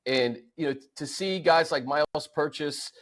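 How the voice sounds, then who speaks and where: A man's voice: fairly high pitch, moderate pace. An American man hosting a sports show in a casual, conversational style. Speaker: sports podcast host.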